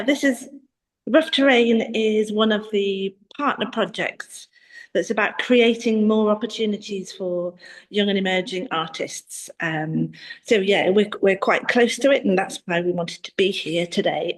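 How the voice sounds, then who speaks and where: A woman's voice: mid-range voice, poor audio. A woman presenting a live walk-through on a video call, informal and enthusiastic. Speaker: roving presenter filming a gallery tour. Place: indoors in a gallery landing and exhibition space. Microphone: handheld device on a video call.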